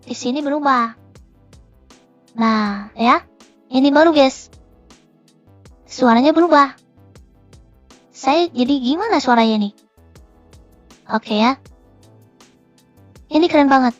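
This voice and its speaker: A man speaking as a female persona through a pitch-raising voice changer. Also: slow pace, pauses often, a bit bright, dry recording, dull audio, faint music behind, heavily acted. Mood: pleased. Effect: pitch-raising voice changer filter.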